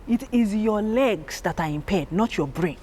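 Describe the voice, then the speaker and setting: A woman with a strong, loud voice, telling stories about her family as an interview guest, animated and laughing as she acts out her parents. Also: medium pitch, moderate pace, a little hiss or hum. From Nigeria. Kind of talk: candid storytelling.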